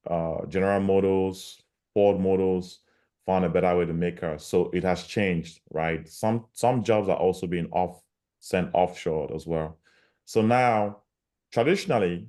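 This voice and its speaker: A man's voice: low-pitched, darkish tone. A middle-aged man from Cameroon giving a casual, repetitive talk about job searching over a video call. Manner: repetitive, conversational, emphatic. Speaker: guest speaker on job searching.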